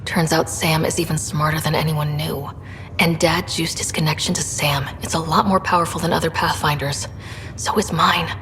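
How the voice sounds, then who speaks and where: A woman's voice: low voice, steady tone, normal speed, fairly bright tone. A woman playing an earnest, caring young explorer in a sci-fi video game, talking in a subdued, conversational way. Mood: confiding. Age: young adult. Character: earnest, caring young female space explorer, twin sister. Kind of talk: naturalistic video game dialogue acting. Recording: reverberant room, faint ambient noise.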